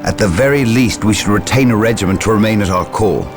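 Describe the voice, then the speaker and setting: An actor playing a proud, impatient veteran space marine officer in a game cutscene, formal and challenging. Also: male voice, lowish voice, fast, lightly acted. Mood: impatient, disdainful. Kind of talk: video game cutscene voice acting. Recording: dramatic music behind.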